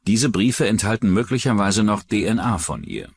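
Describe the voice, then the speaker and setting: A man's voice: on the low side, normal speed, breathy edge, soft background music. A man narrating a scripted true-crime documentary.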